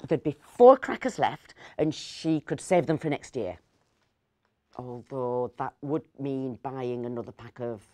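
A woman's voice: sits low, mellow. Rambling, fussy woman, acted. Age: middle-aged. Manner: hesitant, digressive, self-correcting. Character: rambling, fussy, kindly woman host of Christmas dinner. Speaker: actor reading a story. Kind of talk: dramatic storytelling monologue. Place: indoors, seated in an armchair.

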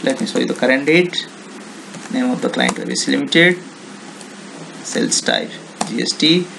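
Male software demonstrator, Indian accent, creaky voice, halting unscripted tutorial delivery, slightly noisy screencast audio. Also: mid-range voice. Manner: halting, non-native English phrasing with repeated check words.

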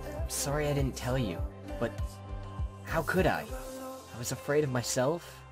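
A woman acts a troubled young male werewolf in an amateur game roleplay. The voice is extremely low and earnest. Music plays clearly behind it. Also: expressive, moderate pace. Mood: apologetic. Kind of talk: amateur Minecraft roleplay acting.

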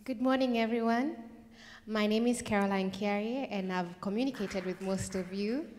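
A woman's voice: mid-range voice, moderate pace, a little mellow. Friendly woman introducing herself in a hall. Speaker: conference participant. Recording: hall reverb.

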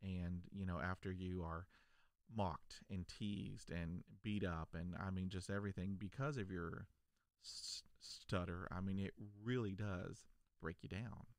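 A man in his forties who stutters, talking earnestly and encouragingly to camera from a home podcast studio on a boom mic. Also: steady tone, unhurried, no echo. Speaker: vlogger, podcast host. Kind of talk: personal talk to camera. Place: home studio room, indoors. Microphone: large podcast mic on a boom arm.

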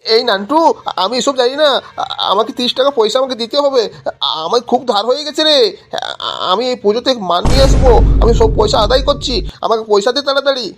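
A man's voice: very high-pitched, fast talker, fairly bright tone, faint music behind. Cartoon village shopkeeper played by a man: grumbling, tight with money, scolding in repeated, exasperated bursts. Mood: exasperated, demanding. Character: grumbling, money-minded village sweet-shop keeper. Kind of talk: amateur cartoon comedy voice acting.

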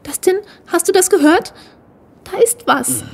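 A woman's voice: extremely high pitch, expressive, a little slow, gravelly, full character voice. An actress playing a young, uneasy but teasing girlfriend in a horror audio drama. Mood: nervous. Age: young adult.

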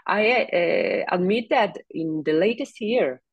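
A middle-aged woman singer with a big, low speaking voice talks slowly on a video call. She halts often with fillers and breaks into laughs. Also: expressive, breathy edge, dry recording, highs cut off. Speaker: singer, interview guest. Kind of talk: casual video call interview. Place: home room, seated in office chair.

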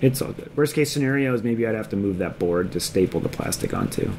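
Man, thirties, relaxed rambling how-to talk, body-worn camera mic, noisy basement with clucking chickens. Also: fairly low pitch, fast talker. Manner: easygoing, rambling, many ums. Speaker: homestead vlogger. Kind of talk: casual how-to narration. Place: home basement workshop. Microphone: action camera on the body. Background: chicks cheeping.

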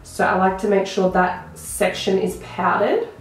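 A young woman with a croaky voice, chatting enthusiastically through a makeup tutorial to a close camera in a small room. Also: mid-range voice, measured pace, faint music behind. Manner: chatty, enthusiastic product talk. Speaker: beauty vlogger. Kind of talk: casual makeup tutorial chat. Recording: very echoey room.

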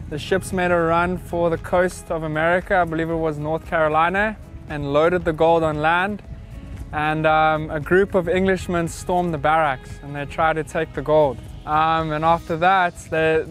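A young man, a boat captain, casually telling a local legend outdoors on a sailboat deck, relaxed with frequent ums. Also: fairly high pitch. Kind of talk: casual storytelling.

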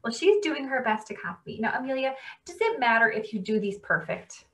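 A woman's voice: on the high side, sing-song, fairly quick. A woman in her thirties, a teacher reading a picture book to children at home with encouraging questions. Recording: distant, muffled home webcam recording.

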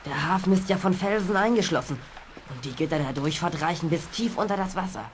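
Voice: mid-range voice, brisk, close to an everyday voice. A young performer playing an earnest, trusting boy adventurer in a German children's radio play. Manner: earnest, eager. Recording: noisy old audio drama recording.

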